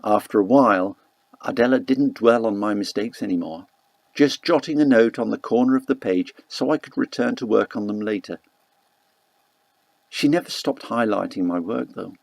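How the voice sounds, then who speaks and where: A man narrating a first-person literary novel as an audiobook, reading in a measured, deliberate way. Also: fairly low pitch, vocal fry, no echo.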